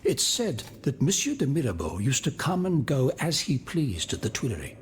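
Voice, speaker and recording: A man's voice: mid-range voice, very animated, normal speed, lightly acted. Guy playing a grieving minister in a game, proud and formal, plaintive, noisy ambience behind. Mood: indignant, proud. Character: anguished, proud former French royal minister, mourning his wife. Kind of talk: video game cutscene acting.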